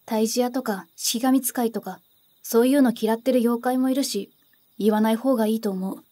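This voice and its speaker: A woman's voice: on the high side, fairly even intonation, normal speed, mellow, natural voice. A woman voicing a polite, careful, reserved young boy in an anime-style voice drama. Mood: quiet, cautious.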